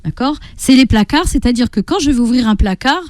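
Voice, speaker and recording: Woman, higher-pitched voice, didactic radio guest, rhetorical check-ins, acted-out everyday examples, dry close studio sound. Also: animated, brisk, no room sound. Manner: didactic, often checks in with rhetorical questions and acts out inner speech. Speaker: guest parenting coach and therapist. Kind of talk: radio interview, explanatory advice with everyday examples.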